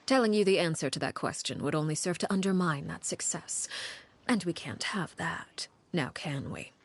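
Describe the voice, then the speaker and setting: A woman playing a cold, poised, secretive leader in a video game, speaking in a measured, guarded, formal way. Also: deep voice, husky. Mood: cool, evasive. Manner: measured, guarded, faintly menacing formal speech. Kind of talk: video game story dialogue.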